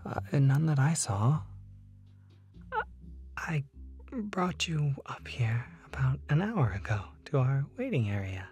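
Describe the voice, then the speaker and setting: A performer voicing a polite, flustered restaurant host in an audio roleplay, halting and apologetic. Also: medium pitch, sing-song, a little mellow, faint music behind, voice pushed up. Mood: confused, apologetic. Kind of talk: audio roleplay addressed to the listener.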